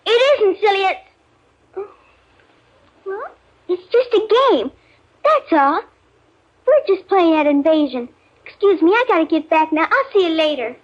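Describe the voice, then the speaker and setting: Young girl, piping very high, impatient and evasive. Vintage radio play, dull sound. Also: lively intonation, a little slow, long pauses, a little hiss or hum. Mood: defensive, hurried. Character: evasive, impatient little girl under nine. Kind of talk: 1950s radio drama acting. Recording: muffled 1950s radio recording.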